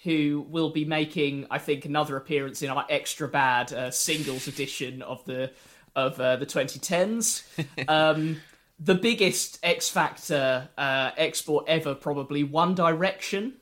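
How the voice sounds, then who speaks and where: A man with a slightly raised voice hosts a casual podcast chat. He talks in a wry, joking, unhurried way, tossing out asides as he goes. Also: on the high side, a bit bright. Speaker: podcast co-host.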